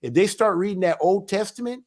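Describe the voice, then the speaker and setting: A man, an elder of a ministry, giving a religious teaching talk in an emphatic, animated way. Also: high voice, fairly quick. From United States.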